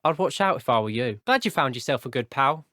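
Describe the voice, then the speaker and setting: Teenage wizard pupil, British accent, medium pitch, polite, chatty, wryly earnest, video game dialogue, dry studio sound. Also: male voice, lively intonation, brisk, sharp tone, no echo. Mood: friendly, teasing. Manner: well-mannered, old-fashioned British phrasing. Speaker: voice actor. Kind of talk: scripted video game character voice clips.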